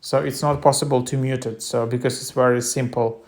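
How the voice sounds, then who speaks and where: A man's voice: mid-range voice, steady tone, fairly quick. A man presenting a casual, unscripted app tutorial, explaining things in a hesitant, loosely phrased way.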